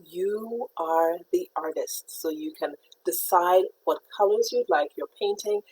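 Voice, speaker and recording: Patient woman teaching painting, breathy voice. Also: on the low side, measured pace, poor audio. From Trinidad. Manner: patient, step-by-step instruction; greets viewers brightly by name. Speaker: art teacher. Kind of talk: live-streamed painting lesson.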